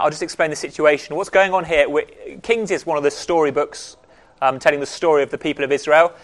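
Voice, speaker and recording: A man leading a Bible study group, explaining in a relaxed, conversational way with frequent pauses and restarts. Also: fairly high pitch. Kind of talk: casual teaching talk.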